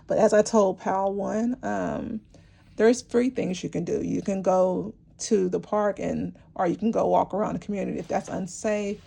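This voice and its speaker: A woman gives an intuitive tarot reading straight to her viewers, rambling and encouraging, often restarting her sentences. Her voice is mellow, dark and gravelly, and it is wearing hoarse, with frequent throat clearing. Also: mid-range voice, steady tone, moderate pace. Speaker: tarot reader.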